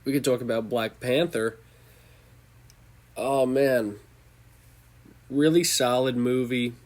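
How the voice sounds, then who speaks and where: Young male host, stuffed-up from a cold, unhurried, ad-libbed ranking chat. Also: medium pitch, steady tone, a little mellow. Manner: loose, off-the-cuff, thinking aloud. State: congested from a cold. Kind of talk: casual tier-list commentary.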